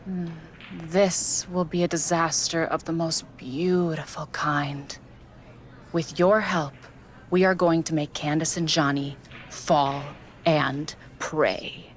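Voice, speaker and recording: A sultry, scheming villainess from a wrestling video game. Her voice sits a little low, and she draws out her phrases with teasing menace. There is light ambient noise behind her. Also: steady tone. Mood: breathy menace. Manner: slow, teasing, menacing delivery with drawn-out phrases. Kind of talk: scripted video game cutscene acting.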